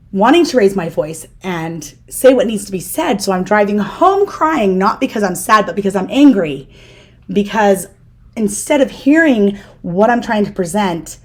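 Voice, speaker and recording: A middle-aged woman hosting a casual interview, talking in a loud, firm and direct way. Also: lowish voice. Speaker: podcast host.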